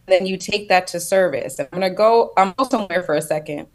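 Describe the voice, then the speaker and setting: A woman's voice: fairly low pitch, quick pace. A woman speaking as a panelist on a video call, thoughtful and reflective, building her points in loose phrases. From United States. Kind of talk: panel discussion.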